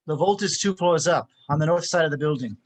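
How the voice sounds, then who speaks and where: A man's voice: fairly high pitch, brisk, lightly acted. A man reading a precise tech handler giving a mission briefing in a table read, businesslike in tone.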